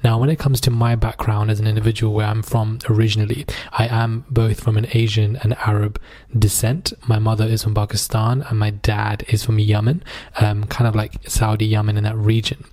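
A man's voice: lowish voice, level tone, a little fast, hardly any pauses, a little mellow. A man hosting a podcast, talking in an informal, emphatic and conversational way about social issues. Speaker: podcast host.

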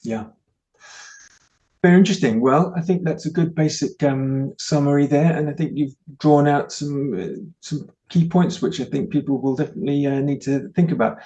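A man hosting an interview, asking questions conversationally in an informal, softened way. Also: on the high side, normal speed, highs cut off. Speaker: interviewer, host.

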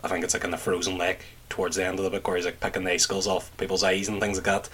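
A man's voice: low-pitched, monotone, rapid-fire, fairly bright tone, husky. A man on a podcast panel talking casually about a book, with tentative, hesitant phrasing.

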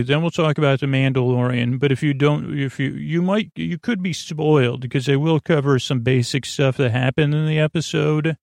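Gravelly, meandering sleep-podcast host. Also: male voice, medium pitch, dry recording, studio quality. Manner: meandering, drowsy, self-interrupting; gentle, reassuring toward listeners. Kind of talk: rambling podcast intro.

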